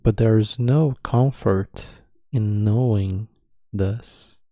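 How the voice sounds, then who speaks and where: A man leading a guided meditation, speaking calmly in sparse phrases with long pauses between them. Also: on the low side, no echo, clean studio sound.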